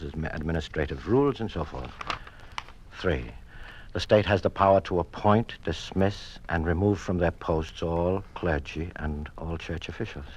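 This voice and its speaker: An actor playing a conflicted American communist politician in an old-time radio drama, speaking in an earnest, declamatory, halting way. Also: male voice, low voice, slight background noise, close to an everyday voice. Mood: measured, official. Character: conflicted American communist politician turning defiant against his bosses.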